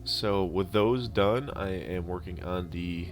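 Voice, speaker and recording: A man narrating a DIY prop-building tutorial in a casual, unscripted and halting way. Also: sits low. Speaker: DIY prop-making video host. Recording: instrumental music behind the voice. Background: music.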